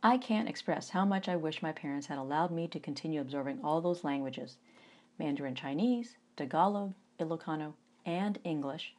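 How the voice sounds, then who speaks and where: A woman in her forties from the United States, an author giving an informal reading of her own memoir in a conversational, wry way with animated emphasis. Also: low-pitched, normal speed. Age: 40s.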